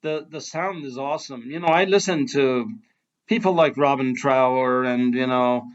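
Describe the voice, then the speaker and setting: A man's voice: mid-range voice, moderate pace. Iranian-accented man, enthusiastic host. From Iran. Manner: enthusiastic, admiring, chuckles often. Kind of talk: casual interview chat. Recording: dull lo-fi sound.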